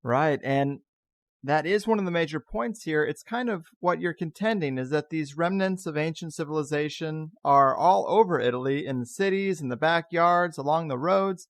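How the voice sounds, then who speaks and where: A man's voice: on the high side, moderate pace, no echo. A man hosting a podcast, interviewing in a casual, conversational style.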